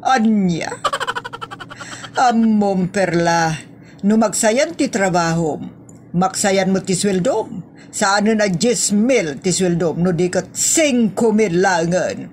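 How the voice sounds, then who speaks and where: A woman playing a demanding, exasperated lady of the house in a comedy audio drama, scolding deliberately with an Ilocano Filipino accent. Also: medium pitch, a little mellow, slight background noise, close to an everyday voice. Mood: stern.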